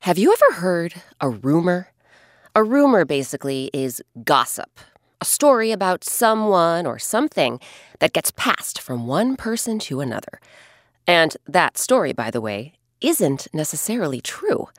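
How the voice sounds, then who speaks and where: A woman hosting and narrating a scripted children's story with expressive, emphatic delivery and dramatic pauses. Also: on the low side, dry recording, studio quality. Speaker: host and narrator. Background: music.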